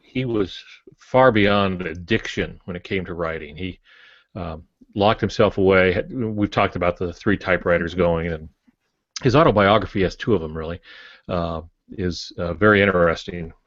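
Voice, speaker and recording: A man's voice: deep voice, moderate pace, fairly bright tone, no echo, highs cut off. A man speaking as a podcast co-host, explaining his thoughts in a reflective, casual way. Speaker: podcast co-host discussing writing.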